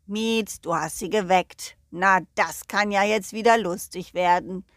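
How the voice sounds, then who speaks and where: A woman's voice: medium pitch, measured pace, no room sound, studio quality, voice pushed down, lightly acted. A woman voicing a sly, aloof, teasing cat in a children's story, punctuating phrases with meows. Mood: dry, aloof.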